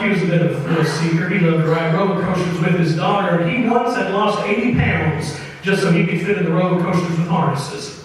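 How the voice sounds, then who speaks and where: A man telling a story live on stage for an old-time radio variety show, in a folksy, measured way with deliberate pauses. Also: high-pitched. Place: opera house stage with live audience. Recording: roomy, reverberant hall, distant mic, faint noise.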